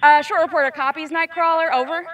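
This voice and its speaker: A woman's voice: sits high, fairly even intonation, fast talker, fairly bright tone. A young woman doing a casual, playful interview as a team reporter, chatty and upbeat, outdoors. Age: young adult. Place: outdoors in stadium stands.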